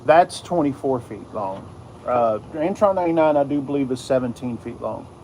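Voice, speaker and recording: A middle-aged man giving a casual, unscripted hobby explainer, halting and often repeating himself as he circles back to his points. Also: mid-range voice, animated, darkish tone. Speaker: radio hobbyist video host. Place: outdoors in a yard. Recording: outdoor noise with insects and traffic.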